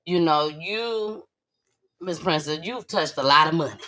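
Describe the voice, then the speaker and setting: A woman's voice: low-pitched, unhurried, pushed and bright. A woman hosting a talk show in a casual, chatty style, repeating words for emphasis as she questions her guest. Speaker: talk show host, entrepreneur.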